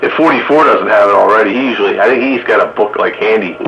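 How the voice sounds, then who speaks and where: A man's voice: on the low side, fast talker, a bit bright, dull audio. A man hosting a radio hobbyist net, talking casually. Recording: static noise over radio.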